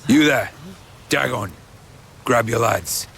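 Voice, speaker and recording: A man's voice: mid-range voice, slow pace, vocal fry, a bit bright, a little hiss or hum. An actor playing a king's blunt, hardened brother in a fantasy audio drama, with an informal way of talking. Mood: brusque.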